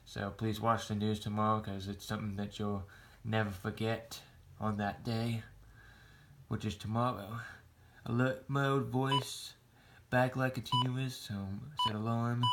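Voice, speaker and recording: An American man giving a casual show-and-tell in a halting, rambling, plain-spoken way. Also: fairly low pitch, level tone, noisy background. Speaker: hobbyist vlogger showing a radio collection.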